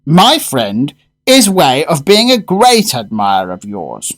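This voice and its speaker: A man's voice: on the high side, huge pitch swings, slow pace, a bit bright, heavily acted. An actor playing a pompous, rapturous male gourmet with a florid way of talking in a radio horror drama. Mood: rapturous, knowing. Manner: grandiose, florid.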